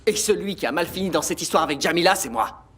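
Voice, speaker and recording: A man's voice: a little high, lively intonation, quick pace, a bit bright, a little hiss or hum. An actor playing a persistent, anxious record label man in a French dub.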